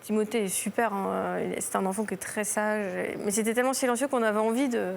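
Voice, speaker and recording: A woman in her thirties, a talk show guest, telling a personal story in halting sentences that restart and trail off. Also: mid-range voice, fairly even intonation, vocal fry, a little hiss or hum. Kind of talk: emotional personal testimony. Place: television studio.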